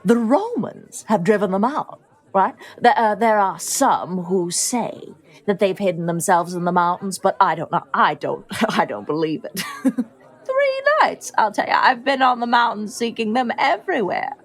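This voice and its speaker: A woman playing a curious, easily shocked foreign visitor in a conversational, comedic audio drama, with a playful, expressive manner. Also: medium pitch, measured pace. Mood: curious, amused.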